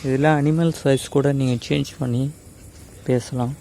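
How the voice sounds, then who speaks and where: A man's voice: medium pitch, steady tone, moderate pace, vocal fry, mellow, slight background noise. A man gives a casual step-by-step tutorial, addressing viewers directly and mixing in English words. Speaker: tech tutorial host.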